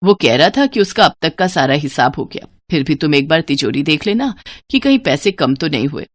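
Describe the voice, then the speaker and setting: A woman's voice: deep voice, expressive, fairly quick, no room sound, pitched below the performer's own voice. A woman voicing an illiterate, trusting older mother-in-law in a story, homely, earnest and a little fretful. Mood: reassuring.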